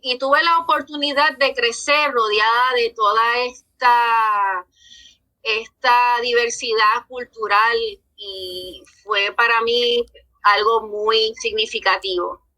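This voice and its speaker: A woman with a Puerto Rican accent, a social worker guest talking about her life in a podcast interview, earnest and measured, with frequent "eh" pauses. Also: a little high, bright, forceful tone, poor audio. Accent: Puerto Rican Spanish. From born in New York, raised from age eleven in Puerto Rico.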